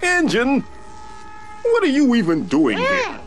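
An actor voicing an irritable, sarcastic magical talking mask in a cartoon game, wry and exasperated. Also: male voice, extremely high pitch, wildly swooping pitch, slow, heavily acted. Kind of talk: cartoon video game acting. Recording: loud music behind the voice.

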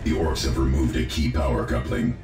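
A man playing a grave, formal tech-priest marine in a video game, speaking in a measured, gravelly, very deep voice. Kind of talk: video game cutscene acting. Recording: echoing voice over loud game music.

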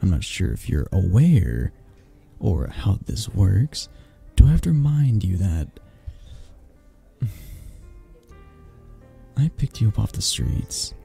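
A man playing a possessive, flirtatious mafia boss in a romantic audio roleplay, husky and gravelly, speaking with intimate condescension and long pauses. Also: low-pitched, sing-song, dark tone, full character voice. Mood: possessive, angry. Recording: music behind the voice. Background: background music.

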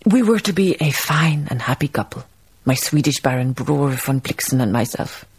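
An actress playing a wistful, wry Danish baroness, narrating a literary radio drama in a measured way. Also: female voice, very deep voice, expressive, darkish tone, slight background noise. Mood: wry, rueful. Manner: measured storytelling delivery.

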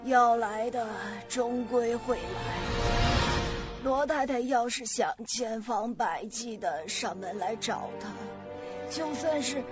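An actress playing a fretful, superstitious older mother in a radio drama, fussing with drawn-out words. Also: fairly high pitch. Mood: resentful. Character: fretful, superstitious older mother, hard on her daughter-in-law. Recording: dull lo-fi audio with music behind.

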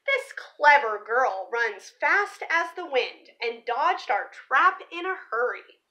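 A young woman presenting to children in an expressive storybook read-aloud style, stressing key words like a teacher. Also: sits high, slow, poor audio. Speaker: teacher reading a picture book aloud for children. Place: small living room or room at home, indoors.

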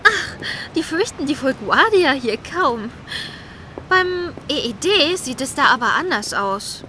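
A woman's voice: high-pitched, big pitch swings, takes it slowly, bright, forceful tone, a little hiss or hum, full character voice. A woman playing a rich, flippant, gossipy journalist with a breezy, theatrical drawl in a German audio drama. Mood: playfully haughty.

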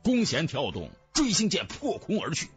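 A man's voice: a little high, huge pitch swings, moderate pace, slightly rough. Male narrator for fantasy audiobook: theatrical, hugely varied intonation, faint music bed. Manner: theatrical, storytelling. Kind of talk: dramatic scripted narration of a fantasy novel.

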